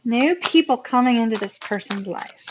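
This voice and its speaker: A woman, giving a live tarot reading in a chatty way, with a voice going hoarse, laughing often and repeating words for emphasis. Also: fairly high pitch, lively intonation, normal speed, lo-fi sound, noisy background. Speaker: tarot reader.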